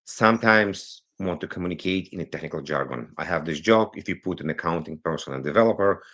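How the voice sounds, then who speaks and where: Deep-voiced man, non-native English speaker. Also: highs cut off. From Israel. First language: not English. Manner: animated, rushes through some phrases, digressive. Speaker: guest, cybersecurity consultant. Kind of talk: conversational interview storytelling. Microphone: remote recording, virtual call.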